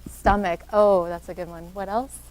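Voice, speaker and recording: A woman with a soft voice, a social worker giving an interactive workshop talk and encouraging answers from the audience. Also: medium pitch, steady tone, normal speed, poor audio.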